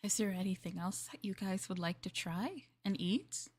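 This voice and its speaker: A woman's voice: mid-range voice, expressive, normal speed, a little mellow, breathy edge. A woman streamer playing a maid in a roleplay, chatting with viewers in a playful, teasing and politely formal way.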